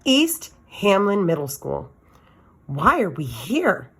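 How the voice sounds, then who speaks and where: Low-voiced woman reading a story aloud. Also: very animated, slow, fairly bright tone, breathy edge. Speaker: teacher reading aloud to students. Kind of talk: expressive children's novel read-aloud.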